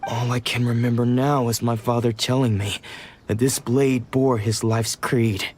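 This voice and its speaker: A man's voice: mid-range voice, little pitch movement, unhurried, a little mellow, slight background noise, natural voice. An actor playing a weary man who lost his memories, speaking in a brooding, melancholic way. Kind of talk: video game voice acting. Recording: game score behind.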